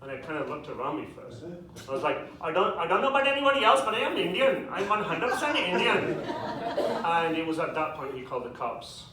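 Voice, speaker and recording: A young man with a British accent doing a stand-up comedy set in a club with a live audience, delivering dry, self-deprecating punchlines with quick asides. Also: fairly high pitch, very animated. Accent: British English. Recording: echoey club, distant mic, audience noise. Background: audience laughter.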